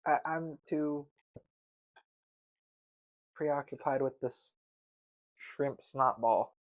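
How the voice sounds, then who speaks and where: A man chatting casually on a livestream in a halting, grumbling manner, often repeating his words. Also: mid-range voice. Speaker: streamer. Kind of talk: casual chat while tasting food on a livestream.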